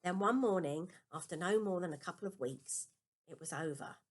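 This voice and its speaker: A woman's voice: on the low side, normal speed. A woman reading a children's novel aloud as a first-person storybook narration. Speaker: storybook reader.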